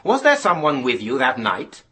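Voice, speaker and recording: Stern official interrogator, sharply varied intonation, formal and pressing, courtroom-style radio drama. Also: male voice, mid-range voice, huge pitch swings, normal speed, background noise. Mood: probing, insistent. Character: stern, relentless official leading an accident inquiry.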